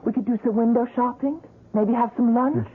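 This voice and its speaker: An actress playing a troubled, tender young woman in love, speaking haltingly and earnestly in a 1970s radio drama. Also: a little high, fairly even intonation, husky. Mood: wistful, earnest. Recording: muffled, narrow-band vintage radio recording.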